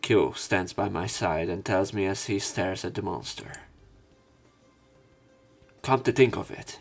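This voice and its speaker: A man doing a let's play, reading story narration aloud dramatically with casual commentary. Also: sits low. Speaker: let's player.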